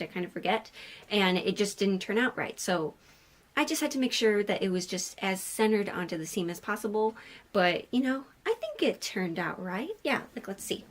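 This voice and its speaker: A woman hosting a sewing vlog, talking in an informal, candid and self-deprecating way as she chats and narrates her project. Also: medium pitch. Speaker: sewing vlogger and host.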